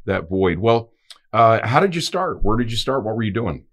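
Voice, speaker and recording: A man's voice: lowish voice, very animated, moderate pace. A middle-aged man hosting a studio podcast interview, enthusiastic and prone to restarting words. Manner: warm, enthusiastic, repeats words as he starts. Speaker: podcast host. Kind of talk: casual interview. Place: podcast studio with branded backdrop. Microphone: desk podcast mic on a stand.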